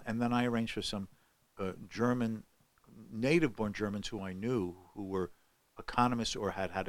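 A man's voice: on the low side, dry recording. An older man telling a long story on a podcast, with many fillers and restarts. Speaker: guest scholar. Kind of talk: podcast conversation.

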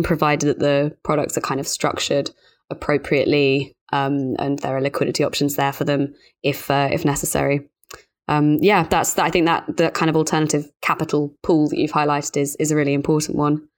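A woman speaking as a financial journalist in a conversational industry discussion, explaining things in a measured, careful way. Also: low-pitched. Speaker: financial journalist co-hosting a podcast.

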